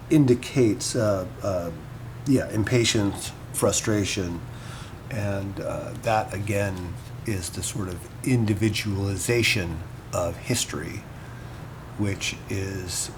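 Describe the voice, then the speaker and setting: An actor playing a scholar who muses hesitantly over notes on an ancient myth in an audio drama, pausing between short phrases, with noise behind him. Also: male voice, deep voice. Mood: pensive. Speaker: scholar and commentator. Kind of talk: audio drama monologue as informal annotated commentary.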